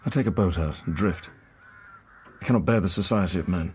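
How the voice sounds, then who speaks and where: An actor playing a tormented, guilt-ridden young British gentleman scientist in an audio drama, speaking gravely and with literary care. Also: low voice, a little fast, slight background noise, close to an everyday voice. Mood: weary, brooding. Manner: grave, brooding, literary delivery. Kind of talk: audio drama narration and dialogue.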